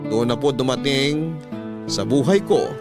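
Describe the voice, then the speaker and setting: A man with a mid-pitched voice narrates a scripted first-person story in the manner of a radio storyteller. Violin music plays clearly behind him. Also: expressive, unhurried.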